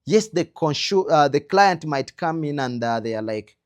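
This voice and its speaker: A man with a Ugandan accent giving an instructional talk as a trainer, earnestly advising with rhetorical questions and emphatic pauses. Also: fairly high pitch, expressive. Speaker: trainer in creative production quality control.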